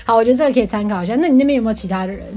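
A woman's voice: on the high side, very fast, warm tone. A woman with a Taiwanese Mandarin accent co-hosting a podcast in casual, teasing banter with jokey swearing. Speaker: podcast co-host.

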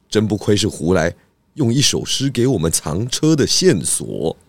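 A man playing a clever, composed pig detective in an audio drama, measured and theatrical. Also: fairly low pitch, sing-song, gravelly, husky, no room sound, heavily acted. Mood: amused, polite.

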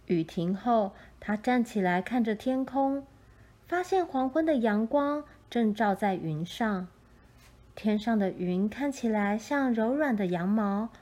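Slow, mellow woman reading a storybook. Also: a little high. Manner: gentle, expressive storytelling. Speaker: storybook narrator. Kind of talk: scripted children's story narration.

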